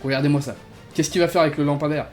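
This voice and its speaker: A young man giving a comedy reaction commentary, excitable and laughing, full of street slang. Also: on the high side, rapid-fire, faint music behind. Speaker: YouTuber.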